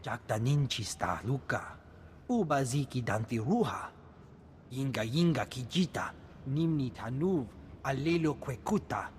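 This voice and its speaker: A man plays a male alien from a sci-fi video game, declaiming in short phrases of made-up speech. The voice is mid-pitched, with a long reverb and faint noise behind it. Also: expressive, a little slow, close to an everyday voice. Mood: declamatory. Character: male alien speaking an invented guttural tongue.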